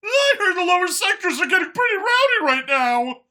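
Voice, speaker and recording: A cocky, menacing alien security thug from a sci-fi story, acted out by a male narrator. The voice is pushed very high, loud and sharp. It swings in pitch with a swaggering edge. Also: big pitch swings, moderate pace, full character voice. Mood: smug. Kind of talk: audiobook character voice.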